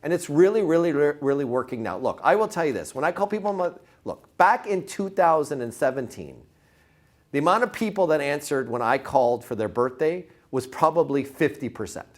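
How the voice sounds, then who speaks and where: Guy doing a sales training pitch, kinda high voice, super up and down, repeats himself to hammer points. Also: lively intonation, brisk. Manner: emphatic, repeats words and phrases for emphasis; informal, punchy, asks the audience questions. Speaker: sales trainer presenting to real estate agents. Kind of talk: motivational sales presentation.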